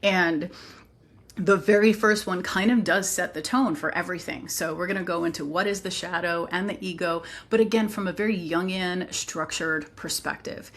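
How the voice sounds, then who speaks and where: Woman with a lowish voice talking thru her online course, earnest, chatty asides. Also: moderate pace, a bit bright. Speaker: teacher presenting an online program. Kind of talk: informal course presentation.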